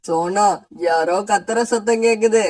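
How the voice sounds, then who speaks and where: A man's voice: high-pitched, a little slow, no echo. A man voicing a caring, sensible male sparrow in a children's cartoon story. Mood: alert.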